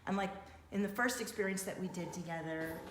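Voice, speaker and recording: A woman's voice: fairly low pitch, a little fast. A woman working as an energy coach, talking casually with a client in an affirming, explanatory way. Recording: echoey room with background noise.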